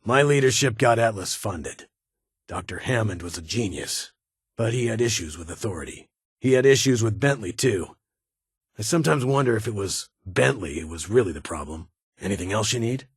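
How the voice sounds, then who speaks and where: A man's voice: on the low side, lively intonation, normal speed, breathy edge, no room sound, studio quality, heavily acted. A man playing a stern, guarded military security chief in a video game, with a clipped, authoritative and brusque manner. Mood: guarded, reflective. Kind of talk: video game character dialogue.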